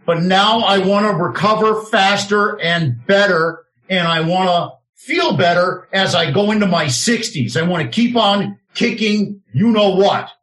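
A man's voice: high-pitched, measured pace, hardly any pauses, fairly bright tone. A brash, self-assured man in his fifties hosting an interview show, cutting in with questions. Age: 50s. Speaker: show host. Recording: roomy echo.

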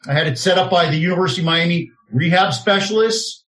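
A man's voice: high voice, fast, fairly bright tone. A brash, self-assured man in his fifties hosting an interview show, cutting in with questions. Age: 50s. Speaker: show host. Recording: roomy echo.

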